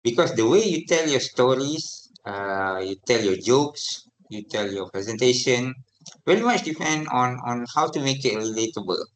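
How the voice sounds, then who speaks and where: A middle-aged man with a Malaysian English accent lecturing to a university class, lively and often checking for replies from students. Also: mid-range voice, normal speed, low-quality recording.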